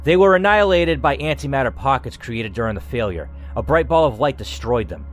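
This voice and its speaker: A man voicing a cold, arrogant lead scientist in a story game, calm, aloof and condescending. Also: medium pitch, a little fast, soft background music, close to an everyday voice. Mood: detached.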